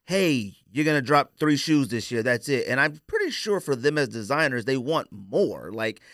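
A man's voice: medium pitch, animated, moderate pace, a bit bright, dry recording, clean studio sound. A man chatting casually as a podcast co-host, rambling candidly and using plenty of slang.

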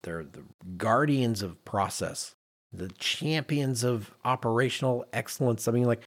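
A man's voice: mid-range voice, unhurried. A man hosting a podcast in a conversational, emphatic, self-deprecating way, moving between chat and read-out notes. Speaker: podcast host.

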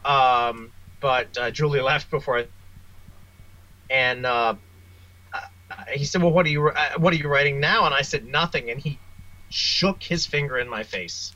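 A man speaking as an interview guest, a writer telling wry, self-deprecating anecdotes in a casual, animated way. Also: medium pitch, unhurried, highs cut off.

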